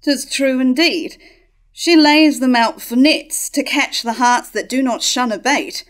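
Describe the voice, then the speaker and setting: A woman's voice: high-pitched, measured pace. A woman in her thirties playing a scornful, scheming royal woman in a staged verse tragedy reading. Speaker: actor reading Herod's scheming sister in a staged play reading. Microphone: stand microphone at a lectern.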